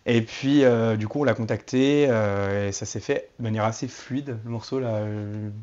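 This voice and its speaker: A man, a musician in a band, talks in a relaxed, conversational way as he answers interview questions. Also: on the low side, moderate pace, muffled recording. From Turkish family background.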